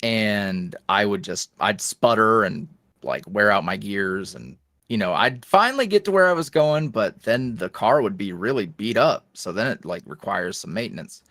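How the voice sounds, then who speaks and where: A man's voice: on the low side, lively intonation, moderate pace, a bit bright, no room sound, clean studio sound. A man, an ASMR roleplay creator, chatting as a guest in a casual interview, rambling with many fillers and restarts.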